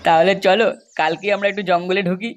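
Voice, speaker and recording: A man's voice: high voice, steady tone, quick pace, soft background music, natural voice. A man voicing a crude, quarrelsome village man in an adult comedy cartoon, brash, foul-mouthed and exaggerated. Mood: sly, eager.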